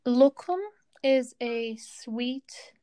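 Teen girl from turkey, chatty podcast cohost, highish voice. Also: level tone, drawn-out words, mellow, clean tone. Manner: chatty, lots of "like". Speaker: student podcast co-host. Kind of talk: casual podcast chat.

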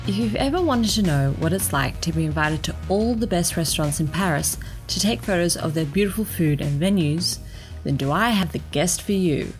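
A woman's voice: fairly low pitch, expressive, moderate pace. Woman host introducing her show. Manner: upbeat and inviting. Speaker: podcast host. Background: faint music.

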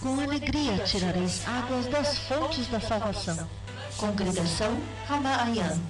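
A woman's voice: on the high side, lively intonation, a little slow, rough recording. A woman solemnly reciting a scripture verse in a radio ad. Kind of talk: scripture reading in ad. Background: music.

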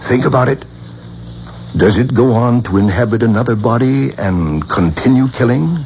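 A man playing a commanding, probing researcher who speaks deliberately in a 1970s radio drama. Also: mid-range voice, a little mellow, lightly acted. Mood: challenging. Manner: deliberate, authoritative questioning. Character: commanding, probing male researcher running occult experiment. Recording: muffled, hissy 1970s radio recording.

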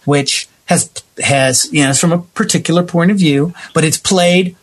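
A man's voice: on the high side, normal speed, breathy edge. A man speaking as a guest playwright and actor in a conversational interview, emphatic and given to acting out remembered exchanges.

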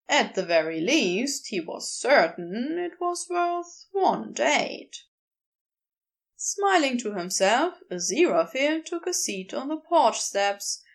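Woman narrator, scripted fiction reading, expressive melody, creaky edges, measured delivery. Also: high-pitched. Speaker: podfic narrator.

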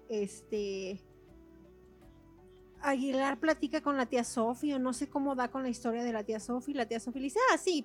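A young woman with a Mexican accent, co-hosting a casual book chat, slangy and chatty with laughs between words. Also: a little high. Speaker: podcast co-host.

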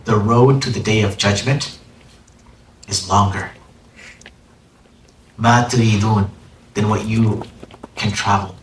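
A man's voice: mid-range voice, fairly bright tone. A man giving a religious lesson, reciting Arabic quotations and explaining them in a casual, conversational way. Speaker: religious teacher. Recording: echoey room, distant mic, faint noise.

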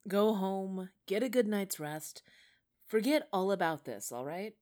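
A woman voicing a gruff male police driver who speaks in a kindly, reassuring way. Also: medium pitch, expressive, unhurried, a little mellow, no echo, studio quality, close to an everyday voice. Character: gruff but soft-hearted male cop driver.

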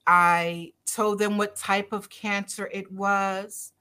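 A woman's voice: medium pitch, little pitch movement, slow, bright, forceful tone, clear, resonant tone. A middle-aged woman from Barbados, a doctor talking in an interview, earnest and emphatic, repeating her key phrases. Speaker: doctor and podcast guest. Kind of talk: conversational interview.

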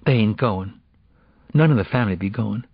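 A man's voice: on the low side, moderate pace, vocal fry, slightly rough, no echo, muffled recording. A man voicing an evasive, lovestruck teenage girl in an audiobook, slangy, reluctant and defensive as she confesses.

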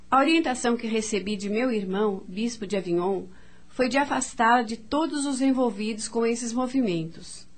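Radio drama matriarch , female actor: stern, devout, middle-aged, authoritative formal delivery, fairly high voice. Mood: firm, admonishing. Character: stern devout bourgeois French mother, controlling, middle-aged.

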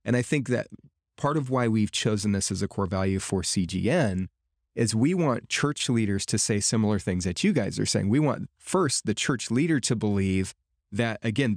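Thoughtful low-voiced male podcast host. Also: lively intonation, moderate pace, no room sound, clean studio sound. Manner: thoughtful, building an argument. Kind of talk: podcast conversation.

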